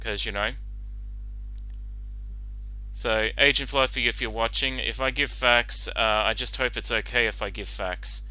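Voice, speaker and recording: A man's voice: medium pitch, fairly even intonation, measured pace, pauses often, pushed and bright, dry recording, faint music behind, low-quality recording. A man giving casual, off-the-cuff reaction commentary in a rambling, hesitant manner.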